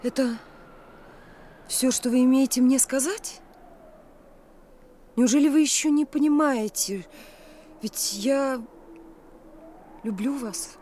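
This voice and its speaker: An actress in a Soviet radio play, playing an impulsive, teasing young woman in love with theatrical, breathy delivery. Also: high-pitched, slow pace, long pauses, warm tone. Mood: hurt, pleading. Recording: faint noise, old radio recording.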